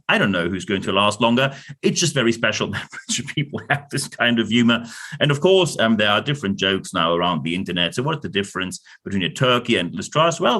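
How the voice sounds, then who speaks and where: A man with a German accent giving a lecture-style talk on leadership, emphatic and animated, with jokey asides and repeated words. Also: fairly low pitch. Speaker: leadership consultant host.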